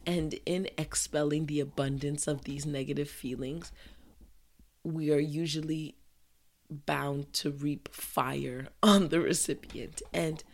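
A woman hosting a casual solo podcast, chatting in a rambling, conversational way. She is hoarse from a sore throat, and English is not her first language. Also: sits low, fairly even intonation, slow pace. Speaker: podcast host.

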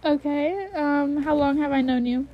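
A woman's voice: high voice, fairly even intonation, unhurried, highs cut off. A young woman casually interviewing friends and family with playful, teasing questions.

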